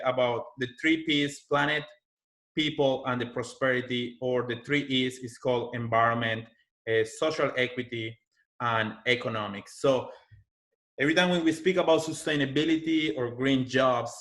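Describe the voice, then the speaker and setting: A man with a Colombian accent, speaking English as his second language, presents over a webinar from a computer microphone. His voice sits at an ordinary middle pitch, and he works through his points with many ums, repeated words and restarts. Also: fairly bright tone. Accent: Colombian Spanish. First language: Spanish. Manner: speaks with fillers and restarts, non-native phrasing. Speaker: university sustainability manager. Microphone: computer mic on a video call.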